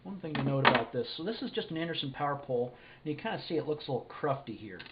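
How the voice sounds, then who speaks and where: A man's voice: medium pitch, lively intonation. Sniffly man talking through a build. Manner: thinking aloud, halting, self-correcting. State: sniffly with a cold. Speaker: hobbyist electronics builder. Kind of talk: casual hands-on assembly walkthrough. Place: indoor workshop bench. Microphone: camera mic above workbench. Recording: dull lo-fi camera audio with faint hiss.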